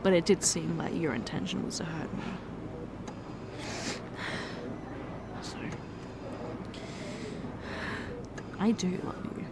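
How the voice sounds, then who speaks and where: A woman playing a distraught young fiancée who confesses in halting speech, often restarting her sentences. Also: lowish voice, fairly even intonation, a crowd in the background, close to an everyday voice. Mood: hushed, wounded. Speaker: ASMR roleplay performer. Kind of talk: ASMR roleplay.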